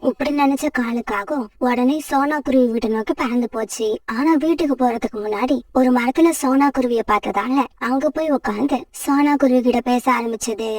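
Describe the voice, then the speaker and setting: A woman's voice: high voice, a little fast, darkish tone, dry recording, recorded from a distance. A woman storyteller giving scripted narration of a children's story.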